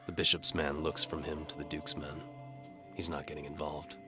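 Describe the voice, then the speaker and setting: Very deep, hard-boiled male narrator. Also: flat delivery, moderate pace, music in the background. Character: down-on-his-luck hired crossbowman, weary and cynical, telling his story. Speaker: narrator and voice performer. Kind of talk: scripted first-person audio drama narration.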